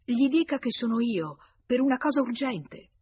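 A woman's voice: a little high, animated, darkish tone, slight background noise, pitched above the performer's own voice, lightly acted. A woman voicing a nervous, apologetic young man who stammers and repeats himself in an audiobook. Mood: insistent. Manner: stammering, halting, repeating words.

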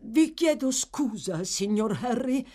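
Woman voicing a flustered, high-strung manservant. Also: animated, takes it slowly, mellow. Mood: tearful, embarrassed. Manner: emphatic, formal, fussily deferential. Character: flustered, emotional manservant, loud yet deferential.

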